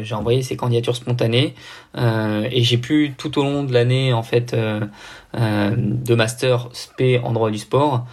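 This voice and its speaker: A young man from the south of France with a neutral French accent, talking casually and explaining things in a hesitant way as a podcast guest. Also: medium pitch. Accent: neutral French, no regional accent. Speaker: podcast guest, amateur triathlete. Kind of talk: casual interview conversation.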